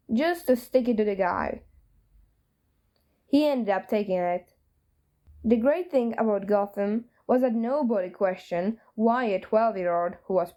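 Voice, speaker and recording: A woman's voice: medium pitch, darkish tone. A woman reading a story aloud as a scripted narration. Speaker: fan fiction reader.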